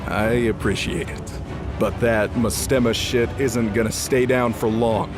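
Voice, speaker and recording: An actor playing an irritated, sarcastic death deity in an audio drama, exasperated and foul-mouthed, with music behind him. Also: male voice, mid-range voice, fairly even intonation, measured pace, lightly acted. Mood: tense, exasperated. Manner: exasperated, sardonic.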